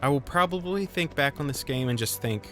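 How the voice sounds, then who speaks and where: A man's voice: mid-range voice, lively intonation, a little fast, fairly bright tone, faint music behind. A man reading a scripted video game review voice-over in a wry, conversational way, with self-deprecating asides.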